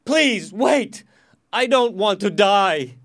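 Man playing a desperate condemned man, loud and high. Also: big pitch swings, takes it slowly, heavily acted. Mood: panicked pleading. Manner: loud, dramatic, exclaiming. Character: desperate, quick-thinking man facing execution, bluffing boldly.

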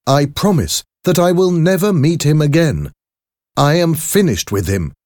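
A man voicing an anxious, secretive gentleman doctor in a storybook, speaking emphatically and earnestly. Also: a little high, big pitch swings, unhurried, no echo, clean studio sound, voice pushed up. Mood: agitated, insistent. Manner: emphatic, earnest, agitated delivery. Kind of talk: audiobook character acting.

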